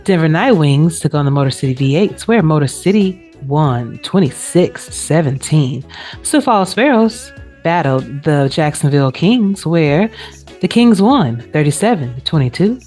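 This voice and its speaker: A woman's voice: deep voice, expressive, unhurried, mellow, soft background music. A woman hosting a casual sports recap show, talking in a chatty, informal way. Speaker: show host.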